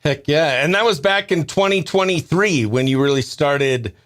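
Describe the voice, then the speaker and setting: Bright, high-voiced male country radio host. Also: normal speed. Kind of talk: upbeat radio interview and studio patter.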